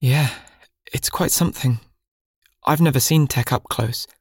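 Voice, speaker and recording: A man's voice: medium pitch, a little slow, husky, dry recording, clean studio sound, pitched above the performer's own voice. A man doing a wary young salvager, lifted voice. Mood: cautious. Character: young male ship salvager, wary, in pain.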